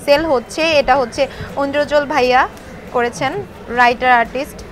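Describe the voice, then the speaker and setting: A woman's voice: high voice, fairly even intonation, moderate pace, soft background music. A woman working as a stall seller, presenting products in an informal interview and mixing English words into her speech. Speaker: bookstall seller. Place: busy book fair stall. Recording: busy crowd noise behind.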